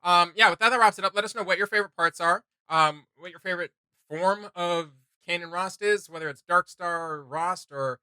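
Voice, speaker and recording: Guy doing game reaction chat, really bright crisp voice, close dry sound, rambly and slangy. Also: sits high, fairly quick, no echo. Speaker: host of a video game reaction show.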